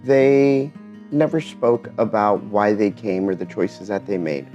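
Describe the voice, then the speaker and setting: A man giving a personal talk in an earnest, reflective way, pausing often between phrases. Spanish is his first language. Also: on the low side, dark tone, highs cut off, faint music behind. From Eastern Washington, USA.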